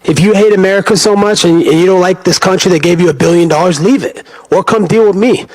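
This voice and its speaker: A man's voice: sits high, quick pace, a little mellow, poor audio. A man delivering an angry, confrontational political rant full of insults.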